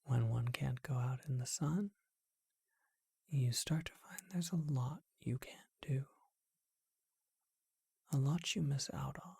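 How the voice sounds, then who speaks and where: A performer voicing a charming, courteous, bookish vampire shopkeeper in a breathy, husky whisper, with genteel and hesitant phrasing, for an ASMR roleplay. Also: mid-range voice, slow, pauses often, mellow, no echo, studio quality, full character voice. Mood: wistful, reflective. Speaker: bookshop owner.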